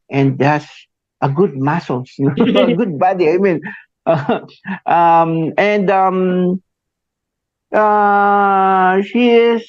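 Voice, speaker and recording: A Filipino man in his forties hosting a radio interview, speaking haltingly with many fillers and a tagged "no?". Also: high-pitched, dry recording. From Philippines. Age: 40s. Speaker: radio host. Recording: muffled dry radio studio sound.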